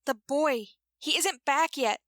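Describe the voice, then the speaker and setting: Actress as fretful pleading woman, high voice, old-time radio drama acting, dry close sound. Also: takes it slowly, fairly bright tone, no echo. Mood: worried, pleading. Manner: worried, imploring. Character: anxious, pleading woman fretting over a missing boy.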